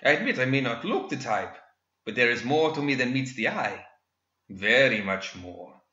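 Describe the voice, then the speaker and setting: A man's voice: mid-range voice, expressive, normal speed, sharp tone. A man voicing a smug, pleasantly mocking male villain inventor who is part machine, in a theatrical manner. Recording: dull echoey room.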